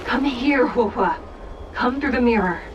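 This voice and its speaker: An actress playing a stern, composed judge in a video game, speaking in a formal, measured way. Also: female voice, fairly high pitch, highs cut off, background noise, lightly acted. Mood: beckoning. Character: stern, composed female judge, an illusory double. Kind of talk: video game character acting.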